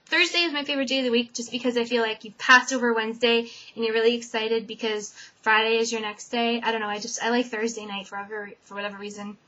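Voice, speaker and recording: A young woman with a fairly high voice rambles through a list of personal questions, filling gaps with "um" and letting her sentences trail off quietly. She is from Connecticut and talks casually into a webcam in a dim bedroom. The audio sounds muffled and lo-fi. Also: fairly even intonation, fast, hardly any pauses. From Connecticut, USA. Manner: chatty, many "um" fillers, trailing off softly at sentence ends. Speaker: vlogger. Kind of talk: casual tag-video chat.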